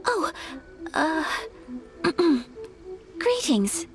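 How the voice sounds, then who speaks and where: A woman voicing a gentle, modest young noblewoman in a video game, polite, formal and softly hesitant. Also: extremely high pitch, big pitch swings, extremely slow, faint music behind, full character voice. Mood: flustered. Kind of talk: anime-style game voice acting.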